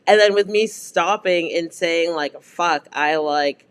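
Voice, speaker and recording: A man chatting casually with friends, telling stories in an animated way with slang and swearing. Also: medium pitch, slow, clean tone. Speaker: podcast co-host.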